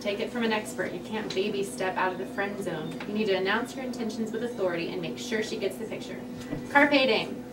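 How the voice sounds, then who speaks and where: A woman's voice: medium pitch, a little fast, natural voice. A woman reading the part of a wry, matter-of-fact friend at a comedy table read. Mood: advising. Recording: roomy, distant mic, loud background noise.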